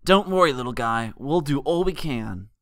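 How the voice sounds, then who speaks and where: A man's voice: mid-range voice, animated, moderate pace, fairly bright tone, no room sound, studio quality. A man voicing a bold, protective young female pegasus pony in a storybook, with a casual, reassuring manner. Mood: confident, proud.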